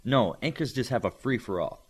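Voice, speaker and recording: A man voicing a smart, assertive, chatty teenage girl in an audiobook, explaining things briskly and matter-of-factly. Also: medium pitch, expressive, husky. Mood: eager, informative.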